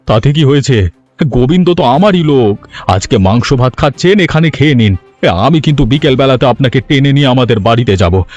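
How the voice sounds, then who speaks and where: A man playing a gullible, anxious village landlord in a cartoon, earnest and a little halting, pleading yet bossy. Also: mid-range voice, expressive, darkish tone, lo-fi sound, close to an everyday voice. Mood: insistent. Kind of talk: cartoon story dialogue. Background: music.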